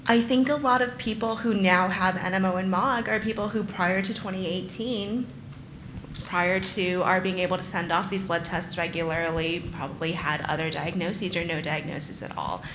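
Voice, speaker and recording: Low-voiced woman doctor on a panel. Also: fairly even intonation, normal speed, background noise. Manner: thoughtful, hesitant, many ums. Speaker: neurologist on a panel. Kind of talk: explaining to patients at a live event. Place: event venue in front of an audience.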